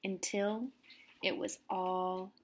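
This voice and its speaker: A woman reading a children's storybook aloud expressively, with deliberate, dramatic phrasing. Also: medium pitch, flat delivery. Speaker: storybook reader, teacher. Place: room at home.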